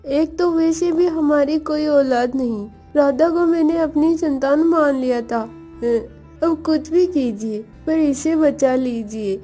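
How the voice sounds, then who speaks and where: A woman voicing a childlike, tender-hearted young village wife in an animated bedtime story, with a girlish, pleading way of talking. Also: sits high, slow pace, soft background music. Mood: distressed, pleading.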